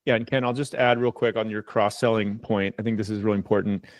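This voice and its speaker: A man's voice: lowish voice, steady tone, fast, no room sound. A man speaking as a company executive on an earnings call, making his case point by point in an assured, emphatic and conversational way.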